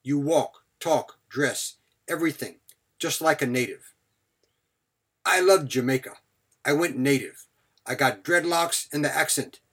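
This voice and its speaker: A man's voice: a little high, unhurried, long pauses, a bit bright, slightly rough. A man giving a scripted English vocabulary lesson as a teacher, speaking in short, clipped phrases followed by example sentences.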